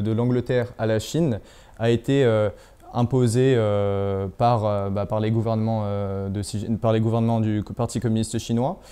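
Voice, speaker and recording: A young man answering interview questions, hesitant and searching for words, with frequent pauses and restarts. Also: on the low side, warm tone, smooth. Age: young adult. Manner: hesitant, many fillers and restarts. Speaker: guest.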